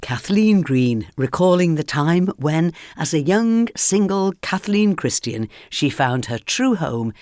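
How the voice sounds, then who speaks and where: Expressive female radio presenter, very dry sound. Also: low voice, takes it slowly, few pauses, no room sound. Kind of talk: scripted narration introducing archive interviews. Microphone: close studio microphone, dry.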